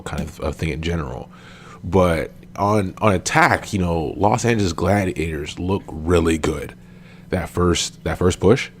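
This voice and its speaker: A man co-hosting a casual esports podcast, talking with a slightly raspy, sniffly voice from a cold, loose and filler-heavy. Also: low voice, slight background noise. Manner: relaxed, lots of ums and you-knows. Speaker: podcast co-host.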